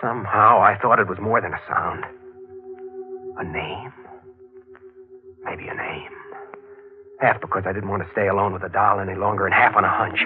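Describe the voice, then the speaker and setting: Man acting brooding low-voiced reporter, old radio mystery. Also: steady tone, normal speed, pauses often, narrow, tinny audio, lightly acted. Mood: hushed, uneasy. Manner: intense, dramatic. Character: brooding, obsessive newspaper reporter narrating his own mystery. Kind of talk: 1940s radio mystery acting, first-person narration. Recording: band-limited old 1940s radio, music behind.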